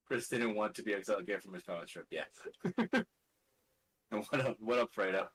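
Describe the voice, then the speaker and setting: A man's voice: lowish voice, normal speed, a bit bright, a little hiss or hum. A man casually narrating his own moves in a tabletop card game, muttering and counting aloud as he thinks. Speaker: card game player and streamer.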